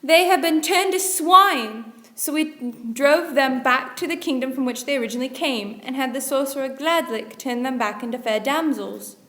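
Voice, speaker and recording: A woman acting as a loyal, quick-witted young lady of a royal court in a radio play. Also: high-pitched, sharp tone, roomy sound. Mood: smooth, cunning.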